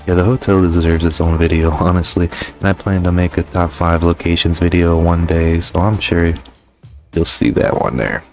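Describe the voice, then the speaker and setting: A young man with a low-pitched voice gives an informal game review commentary. His talk is loose and peppered with swearing, with faint music behind him. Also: completely flat delivery, moderate pace, darkish tone. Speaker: gaming YouTuber.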